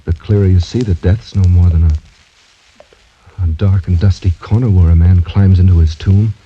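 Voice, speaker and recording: An actor playing a proud, doomed young French gentleman in formal, courtly, brooding old-time radio drama style. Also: low voice, steady tone, normal speed, breathy edge. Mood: sorrowful, resigned. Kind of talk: 1940s-50s American radio drama acting. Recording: dull, muffled old radio broadcast recording.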